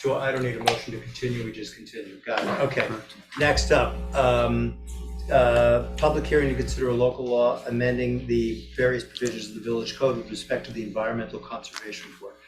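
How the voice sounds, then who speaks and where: A man chairing a village board public hearing, brisk and informal with light jokes, speaking at a table microphone. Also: mid-range voice, flat delivery. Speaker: meeting chair running the hearing. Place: village board meeting room. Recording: roomy meeting hall with music.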